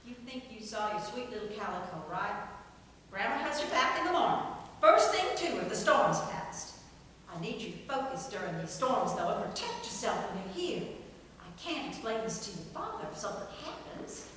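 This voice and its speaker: A woman's voice: medium pitch, sing-song, moderate pace, fairly bright tone, slight background noise. A woman reading the part of a stern but teasing grandmother in a table reading, firm and scolding. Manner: firm and scolding, with playful laughs. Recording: echoey hall, far from the mic.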